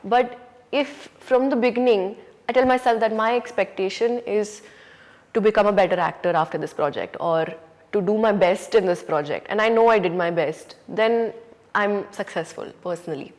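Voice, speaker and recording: Indian English actress, mid-range voice, thoughtful candid answers, echoey hall recording. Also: female voice, mid-range voice, moderate pace, a little mellow. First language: Urdu. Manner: reflective, frequent "you know" and "um". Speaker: actress, interview guest. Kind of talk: candid conversational interview answers. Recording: hall reverb.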